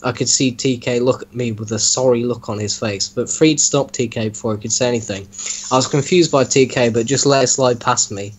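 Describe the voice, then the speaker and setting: A man talking casually as an interviewer, with a deadpan, mock-serious teasing manner. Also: mid-range voice, a little fast. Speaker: host interviewing a story's author. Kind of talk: casual joking chat with reading aloud.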